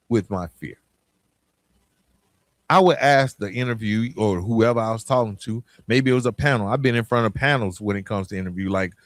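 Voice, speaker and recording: A man's voice: medium pitch, dry recording. Emphatic American man, motivational podcast host. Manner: emphatic, colloquial, direct address with rhetorical questions and repetition. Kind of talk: motivational solo podcast talk.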